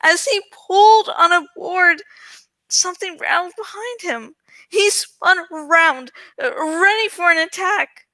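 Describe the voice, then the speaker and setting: Amateur female storybook narrator, very high voice, unhurried delivery, dramatic but self-mocking. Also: pushed and bright, dry recording, poor audio. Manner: self-deprecating, stumbles and jokes about slips. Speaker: amateur audiobook reader.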